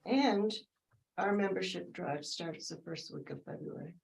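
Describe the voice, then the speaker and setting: A woman with a low, somewhat deep voice gives a casual report at a board meeting. She hesitates, fills pauses and corrects herself as she goes. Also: creaky, a little mellow, poor audio. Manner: hesitant, fillers and self-corrections. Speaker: library friends group treasurer.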